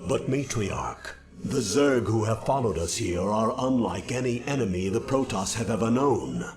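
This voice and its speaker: An actor playing a stern, proud alien judicator in a video game, with formal, urgent declamation. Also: male voice, fairly low pitch, animated, moderate pace, natural voice. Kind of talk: scripted video game dialogue. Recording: loud music behind.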